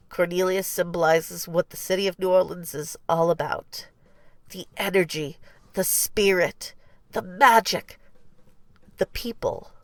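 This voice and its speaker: A woman reading a children's picture book aloud as scripted narration, with measured, deliberate phrasing and pauses. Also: fairly low pitch, no echo. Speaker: story reader.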